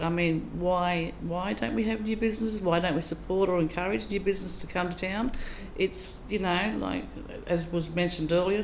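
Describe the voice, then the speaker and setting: A woman's voice: fairly low pitch, rough recording. A middle-aged woman speaks as a council candidate at a public meeting. Her delivery is plain and chatty, with plenty of ums. A faint hiss runs under her voice. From Australia. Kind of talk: candidate speech at a public forum.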